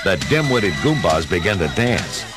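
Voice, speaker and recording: Deep-toned dramatic male story narrator. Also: sing-song. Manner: measured, dramatic. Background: dramatic music.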